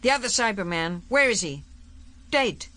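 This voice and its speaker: A woman voicing a gruff, confident military general in an audiobook, blunt and harsh, with slangy commands. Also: mid-range voice, sing-song, normal speed, sharp tone, pitched above the performer's own voice. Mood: brisk, commanding. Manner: barking, blunt, folksy slang.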